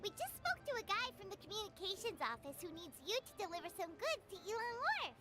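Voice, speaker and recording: A woman voicing a small, perky, easily indignant fairy companion in a video game, with a squeaky childlike voice. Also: big pitch swings, fast talker, over loud music. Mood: cheerful. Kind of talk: video game character acting.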